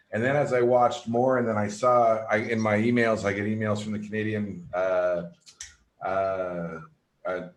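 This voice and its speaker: A man's voice: lowish voice, reverberant. A man hosting a casual video interview, gushing with enthusiasm about his guests. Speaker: host.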